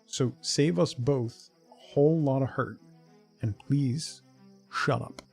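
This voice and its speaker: A man's voice: mid-range voice, slow, creaky, darkish tone. A middle-aged man casually chatting on a game livestream in a rambling, joking, mock-scolding way. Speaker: game streamer. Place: indoors at home. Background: faint music.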